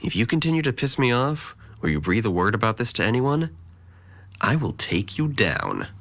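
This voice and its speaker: A man's voice: mid-range voice, sing-song, moderate pace, a bit bright. A man playing a sardonic, scheming teenage boy in an audio drama, articulate and condescending. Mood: cold, threatening. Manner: articulate, formal phrasing, condescending.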